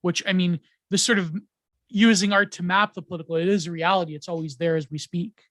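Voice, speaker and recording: A man talking as a podcast guest in casual chat, rambling with many pauses, fillers and restarts, laughing often. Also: high voice, fairly even intonation, bright, forceful tone, no echo.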